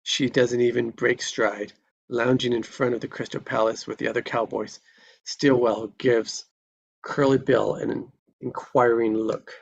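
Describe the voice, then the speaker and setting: A man's voice: medium pitch, flat delivery, measured pace, gravelly, husky, no room sound, muffled recording. A man narrating a screenplay at a live table read, stumbling over words now and then and correcting himself.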